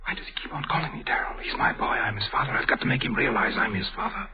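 An actor playing a proud, emotional middle-aged scientist in a vintage radio drama, theatrical and intense. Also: male voice, medium pitch, big pitch swings, moderate pace, crowd noise, close to an everyday voice. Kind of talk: 1930s radio acting. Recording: tinny old radio broadcast.

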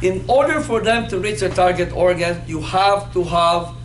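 A man in his fifties teaching anatomy in a classroom, playful and animated, quizzing his students as he goes. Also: sits high, normal speed. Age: 50s. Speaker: anatomy instructor. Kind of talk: classroom lecture with call-and-response. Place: classroom in front of a whiteboard. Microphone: far camera. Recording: echoey classroom with background noise.

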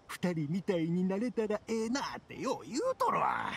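Old craftsman dad, gravelly, Kansai accent, raised lively pitch, anime game, faint music. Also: moderate pace, background noise, heavily acted. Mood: friendly, plain. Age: older. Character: worrying old father, plain-spoken hard-of-hearing fireworks craftsman. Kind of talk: Japanese anime video game voice acting. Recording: background music behind dialogue.

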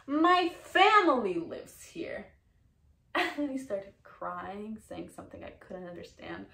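A woman's voice: medium pitch, gravelly, far from the mic. Woman acting a frantic, indignant woman, echoey room. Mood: tearful, shaken. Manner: animated, emotional storytelling with shouted outbursts. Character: frantic, indignant woman recounting a furious outburst. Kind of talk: comedic stage monologue.

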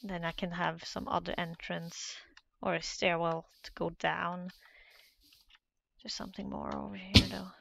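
A woman's voice: fairly low pitch, flat delivery, a little slow, vocal fry, a bit bright, a little hiss or hum. A Norwegian woman with a Norwegian accent, hesitantly commentating her own Minecraft play in a casual, nervous way. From Norway. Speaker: Minecraft let's player.

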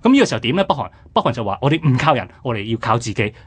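A man's voice: medium pitch, big pitch swings, very fast, slightly rough. A man with a Hong Kong Cantonese accent explaining history conversationally on the radio, in a colloquial way with rhetorical questions.

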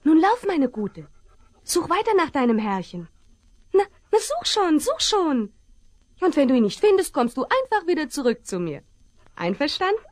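A frightened young rancher's daughter in an old radio play. Her fairly high voice rises and falls a lot, pleading and tense with worry. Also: sing-song, normal speed. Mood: tender. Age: young adult. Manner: pleading, anxious, tender. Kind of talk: 1970s German radio play acting.

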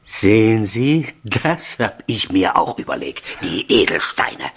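An actor playing a flustered, aggrieved aristocratic castle lord in a theatrical 1970s radio crime comedy, with a husky voice and a habit of repeating himself. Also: male voice, lowish voice, big pitch swings, slow pace, heavily acted. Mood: conspiratorial, fussy.

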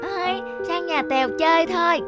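A woman's voice: very high voice, normal speed. A woman voicing a sweet, devoted little peasant boy in a children's cartoon, with a polite, childlike, pleading manner. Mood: eager. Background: music.

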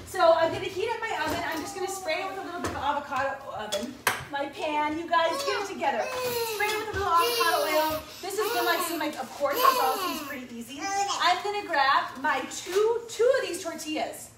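A high-pitched woman chatters through a cooking demo in her home kitchen, upbeat and poking fun at herself as she goes. The sound comes from a camera set back across the room, so her voice bounces around the kitchen with a steady hiss of background noise underneath. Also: brisk. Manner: chatty, self-mocking, upbeat, talks to viewers as "you guys". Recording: echoey kitchen, distant mic, background noise.

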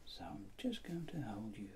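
A middle-aged man speaking in a soft, breathy, mostly whispered voice, gently and deliberately explaining each step as a doctor in an ASMR role-play. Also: on the low side, big pitch swings, a little hiss or hum. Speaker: ASMR performer playing a doctor examining a patient.

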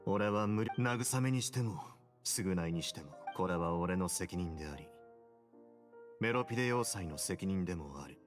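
A man playing a stern, composed duke of a prison fortress in an anime game, with a cool, measured delivery. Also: low-pitched, animated. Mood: calm, serious. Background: game music.

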